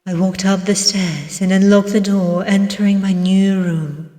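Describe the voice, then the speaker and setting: A woman with a Russian accent narrates a detective game in a measured, slow storybook delivery. Her voice is set back from the mic in a room with long reverb. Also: medium pitch, steady tone, dark tone, recorded from a distance. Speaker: let's play narrator. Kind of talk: scripted first-person story narration.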